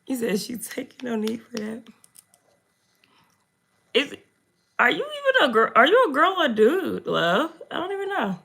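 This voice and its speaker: Hoarse, gravelly young woman chatting with viewers. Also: very animated, a little slow, long pauses, fairly bright tone. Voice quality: low, slightly deep voice. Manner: chatty, talks to viewers, drifts off topic. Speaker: makeup streamer. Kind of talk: casual livestream chat reading comments.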